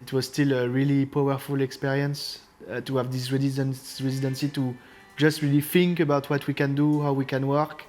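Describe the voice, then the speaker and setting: A man's voice: mid-range voice, level tone, brisk, a little mellow. Hesitant Frenchman with many fillers. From France. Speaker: synth player in a band. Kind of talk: documentary interview.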